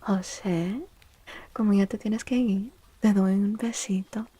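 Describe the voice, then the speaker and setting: A woman livestream host speaking in a soft, gentle ASMR style, often half-whispering in a breathy, soothing and affectionate way. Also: mid-range voice, steady tone, a little slow, dry recording. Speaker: livestream host doing tarot readings.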